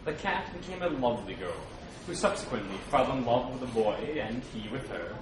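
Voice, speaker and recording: A man tells stories in a dramatic, very animated read-aloud style. His voice is slightly high. The recording is strongly echoey and distant, with clear noise behind him. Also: brisk. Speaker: reader at a public read-aloud. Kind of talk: dramatic scripted storytelling. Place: live reading event before an audience. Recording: very echoey live room, distant, noisy.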